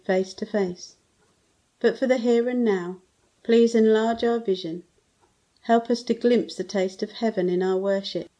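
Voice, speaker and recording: A woman in her fifties saying a measured prayer for a church service, recorded indoors at home. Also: mid-range voice, warm tone, lo-fi sound. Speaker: church member leading prayer.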